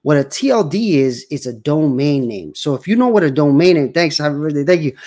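A man's voice: fairly high pitch, animated, fairly quick, darkish tone. A man in his forties hosting his own show, talking casually and rambling with many fillers and restarts. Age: 40s. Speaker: show host. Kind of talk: casual livestream talk.

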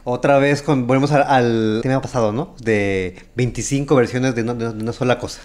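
A man's voice: medium pitch, brisk, darkish tone. A man, chatting casually and teasing his friends. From Baja California. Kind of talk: casual chat among friends.

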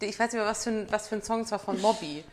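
A woman's voice: mid-range voice, rapid-fire, darkish tone. A woman co-hosting a podcast, chatting casually and wryly about a reality show. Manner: gossipy, wry, chatty. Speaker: podcast co-host.

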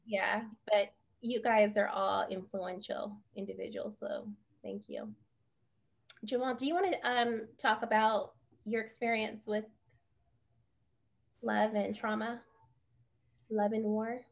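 A woman hosting a casual panel chat, talking in an expressive, effusive way and laughing easily. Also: mid-range voice, slow, vocal fry, pauses often. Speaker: podcast host.

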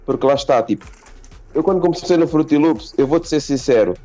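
A man's voice: a little high, fairly quick, muffled recording, soft background music. A man from Portugal, a beat producer rambling casually in a livestream interview and saying yeah a lot. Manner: relaxed, rambling, says yeah often.

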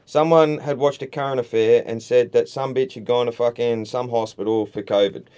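A man's voice: medium pitch, moderate pace, smooth. Swearing man telling stories. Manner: profane, animated storyteller acting out both sides of a quarrel. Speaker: podcast guest, battle rapper. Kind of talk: casual podcast chat. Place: podcast studio.

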